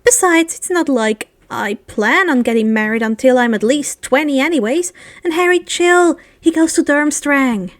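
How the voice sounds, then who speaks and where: A woman's voice: high voice, moderate pace, no echo, close to an everyday voice. A woman voicing a blunt, unbothered, fierce thirteen-year-old girl in a storybook reading. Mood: matter-of-fact.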